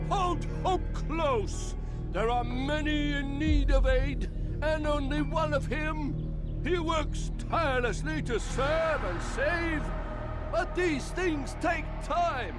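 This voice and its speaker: Very high-voiced, fervent street preacher. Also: male voice. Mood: fervent preaching. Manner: theatrical, earnest, beseeching. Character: fearful, fervent male street preacher serving a doctor. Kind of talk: video game character acting.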